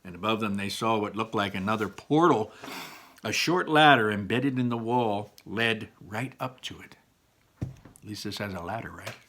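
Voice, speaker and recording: A man's voice: lowish voice, moderate pace, fairly bright tone. A man reading aloud to children as a storyteller, dramatic and emphatic, with simple explanatory asides.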